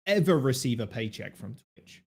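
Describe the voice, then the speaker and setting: A man co-hosting a casual podcast chat, profane and excitable. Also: mid-range voice, moderate pace. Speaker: podcast co-host.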